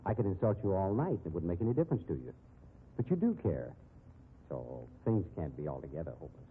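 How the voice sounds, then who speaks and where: An actor playing a brash, wisecracking private detective, blunt but caring, in 1950s radio drama style on an old muffled recording. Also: male voice, sits low, very animated, fast talker, a little hiss or hum. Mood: blunt, challenging. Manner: slangy, blunt, needling.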